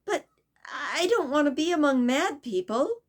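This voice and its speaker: A woman reading aloud gives a prim, wide-eyed young girl who asks earnest questions in a high, bright voice, her pitch leaping about as she speaks. She talks slowly and carefully, like a well-mannered child in a storybook. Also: sing-song. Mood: inquisitive.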